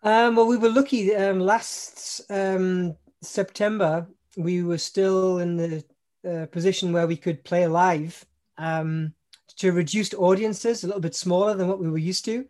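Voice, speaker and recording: A man's voice: high-pitched, a little slow. A man from England, a singer-songwriter guest, chatting casually from home with lots of ums and easy laughter. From Newcastle upon Tyne, England. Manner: relaxed, laughs often, many ums and restarts. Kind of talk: casual interview chat.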